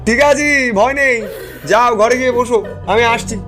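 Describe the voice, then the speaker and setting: An actor playing an assured, commanding tantric priest in an audio ghost story, speaking expressively and with authority. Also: male voice, very high-pitched, unhurried, sharp tone, faint music behind, full character voice. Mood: firm, reassuring. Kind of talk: dramatised Bengali audio story dialogue.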